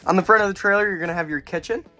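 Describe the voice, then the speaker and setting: A young man giving a relaxed, plainspoken walkaround tour of a small camping trailer, filmed on a handheld phone outdoors and then inside. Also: high-pitched, rapid-fire, soft background music. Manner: relaxed, plainspoken, pointing things out as he goes.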